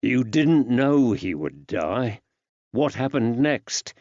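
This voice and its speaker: A man voicing a kindly character who gently coaxes answers from a child, with old-fashioned working-class phrasing. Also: mid-range voice, very animated, slow, no echo, dull audio. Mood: softly probing.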